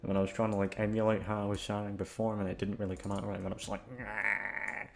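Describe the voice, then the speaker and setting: A man's voice: on the low side, little pitch movement, racing, creaky, darkish tone. A man who makes audio roleplays, chatting casually to his listeners in a rambling, self-deprecating, sweary way.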